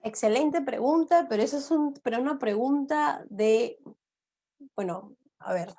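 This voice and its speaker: A woman's voice: high-pitched, measured pace, mellow. A woman with a Peruvian accent, a guest lecturer explaining her subject and answering questions. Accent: Peruvian Spanish. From Peru. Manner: explanatory, asks listeners to remember points.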